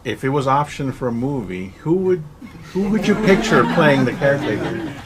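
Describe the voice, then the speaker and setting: A man's voice: medium pitch, lively intonation, normal speed, a little hiss or hum. A man in the audience asking a casual, unscripted question at a live event. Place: bookstore event room. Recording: clear crowd sound in event room.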